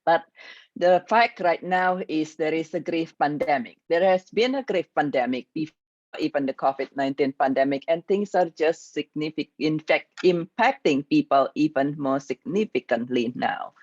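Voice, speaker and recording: A woman's voice: deep voice, moderate pace, a little mellow, dry recording, dull audio. A woman with an Indonesian accent, a grief coach giving an earnest, motivational online workshop.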